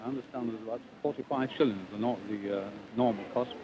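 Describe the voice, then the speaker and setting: An older man from Northumberland, a shopper answering interview questions in a formal, measured way. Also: medium pitch, warm tone. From Northumberland, England. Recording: muffled 1950s newsreel, noisy shop floor.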